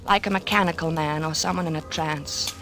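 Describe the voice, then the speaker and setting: An actress playing an anxious, devoted wife who narrates an old-time radio drama. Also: female voice, deep voice, steady tone, a little fast, bright, forceful tone, faint music behind. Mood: grave narration.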